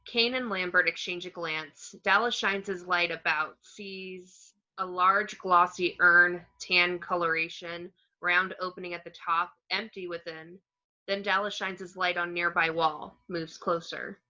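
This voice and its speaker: Low, bright-toned woman reading stage directions. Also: fairly even intonation, unhurried. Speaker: reader of action descriptions. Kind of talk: scripted screenplay narration. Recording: slightly dull home microphone.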